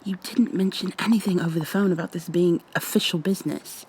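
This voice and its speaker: A woman's voice: on the low side, steady tone, fairly quick, darkish tone, slightly rough, poor audio. A woman playing a proud, exasperated goo girl special agent with prim, formal wording in an ASMR roleplay. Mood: weary, earnest. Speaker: voice actor in an audio roleplay.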